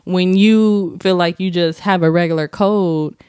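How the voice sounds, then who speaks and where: Young woman host, lowish clear voice, dry close mic, lively storytelling. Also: measured pace, dry recording. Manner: animated, laughing, profane storytelling. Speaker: podcast host. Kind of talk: casual chat.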